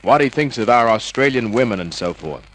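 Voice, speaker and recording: An actor playing a wry, flirtatious American private detective with a world-weary manner, in the style of 1950s radio noir. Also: male voice, mid-range voice, lively intonation, a little fast, slight background noise, natural voice. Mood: wry narration. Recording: old radio drama recording with music.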